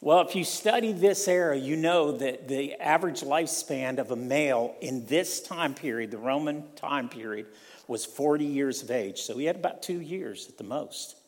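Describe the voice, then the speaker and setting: An older man from Ohio preaching a sermon in a church, slow and emphatic, repeating key lines. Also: medium pitch. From Ohio, USA. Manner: slow, emphatic preaching with repetition and rising intensity. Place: church sanctuary. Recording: long hall reverb.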